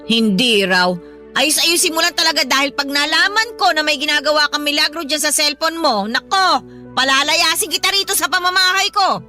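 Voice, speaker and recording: Shrill, hot-tempered Filipino grandmother. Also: expressive, moderate pace, faint music behind. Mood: scolding, irritated. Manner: nagging, blunt, sharp-tongued. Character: hot-tempered, hostile grandmother scolding her son's partner. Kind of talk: Filipino radio drama acting.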